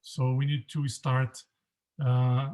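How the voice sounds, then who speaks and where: Mellow-voiced professor, measured speech. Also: male voice, mid-range voice, flat delivery. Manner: measured, hesitant with many fillers. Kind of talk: panel discussion.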